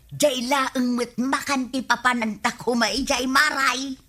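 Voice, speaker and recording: A woman's voice: sits high, steady tone, measured pace, pushed and bright, heavily acted. A woman with an Ilocano accent playing a chatty, pushy, gossipy village wife in a radio comedy drama. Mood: coaxing.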